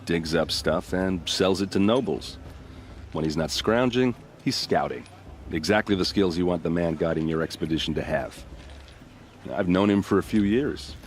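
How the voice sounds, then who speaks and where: A deep male voice for a cocky elite soldier in a video game. He teases easily and flirts with wry confidence. Faint outdoor ambience sits behind him. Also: slight background noise. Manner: easygoing, teasing, wry. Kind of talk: video game cutscene acting.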